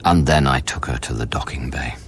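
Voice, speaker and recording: An actor playing a haunted, guilt-ridden scientist on a space station in a radio play, brooding, hesitant and intimate. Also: male voice, a bass voice, fairly quick, very noisy. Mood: grieving, brooding. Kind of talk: radio play acting and first-person narration. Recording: music under the voice.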